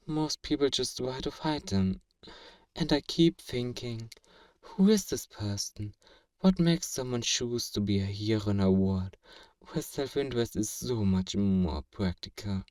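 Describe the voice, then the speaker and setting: A man playing a feared fantasy villain in a flirtatious audio roleplay, measured, amused and condescendingly courteous, in an intimate ASMR acting style. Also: medium pitch, darkish tone, natural voice. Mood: musing, intrigued. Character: feared male fantasy villain, suave, teasing, flirtatiously obsessive. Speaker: voice actor performing a roleplay. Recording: roomy echo, distant from the mic.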